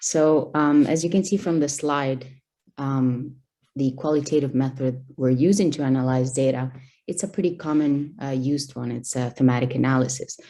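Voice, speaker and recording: A woman's voice: rumbling low, steady tone, moderate pace, warm tone. A young woman from Albania, a PhD candidate, presenting research over a video call with many fillers and restarts.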